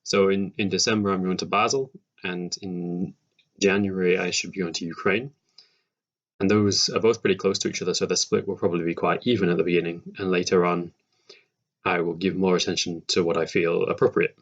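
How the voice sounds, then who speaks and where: Young man, deep pitch, measured hesitant delivery, informal video intro, lo-fi muffled recording. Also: flat delivery, fairly bright tone. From Sheffield, England. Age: young adult. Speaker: language learner. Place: home room with bookshelves, indoors. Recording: dull, lo-fi webcam sound.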